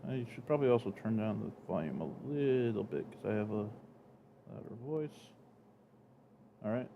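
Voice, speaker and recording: A man's voice: fairly low pitch, very animated, normal speed, warm tone, slight background noise. A man streaming a game from home, talking in a rambling, hesitant, self-deprecating way. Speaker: gaming streamer. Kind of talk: casual gameplay commentary.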